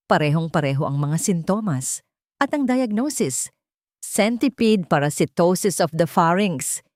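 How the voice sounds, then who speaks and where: A woman's voice: lowish voice, a little mellow, dry recording, studio quality. A woman narrating a scripted audio drama in a dramatic, carefully phrased storytelling manner.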